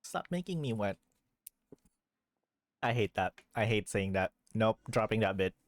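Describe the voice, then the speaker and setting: Rambling woman streamer with animated pitch. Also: a little slow, no room sound. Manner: rambling, swearing, incredulous reactions. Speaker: livestreamer playing a video game. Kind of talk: casual live chat and commentary. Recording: game music behind the voice.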